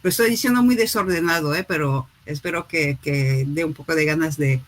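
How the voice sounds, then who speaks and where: A woman author talking through her new book in a relaxed way, pausing often with fillers and restarts, heard over a video call. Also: low voice. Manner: hesitant, many fillers and restarts. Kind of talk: casual spoken book presentation.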